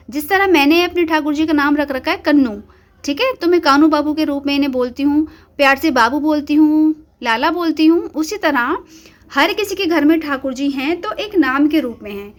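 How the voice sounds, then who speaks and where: A woman with a high voice, a little hoarse from a cold, hosts her devotional channel and talks earnestly to her viewers. She asks pointed questions and speaks straight to the people watching. She records on a phone at her home shrine. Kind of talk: casual devotional talk to viewers.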